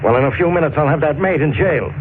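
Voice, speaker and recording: Man playing a blustering high-pitched police inspector. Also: expressive, fast, natural voice. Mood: confident. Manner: blustering, barking orders. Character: gruff, bossy, blustering male police inspector. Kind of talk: 1940s radio acting. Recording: tinny, noisy old 1940s radio recording.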